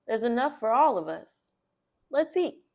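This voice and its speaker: A woman's voice: fairly high pitch, sing-song, a little slow, creaky, darkish tone, pitched above the performer's own voice, heavily acted. A woman reading the part of an earnest, kindly little girl in a storybook. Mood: eager.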